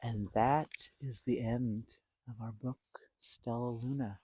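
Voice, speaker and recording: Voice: medium pitch, steady tone, takes it slowly, mellow, slight background noise, low-quality recording. A storytime host reading and chatting to young children in simple words, with questions and explanations.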